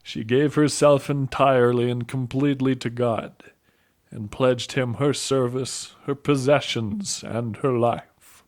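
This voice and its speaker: A man playing a calm priest who tells a saint's story in an audio drama, measured and explanatory. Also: medium pitch, lightly acted. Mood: earnest, instructive. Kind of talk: audio drama acting and narration.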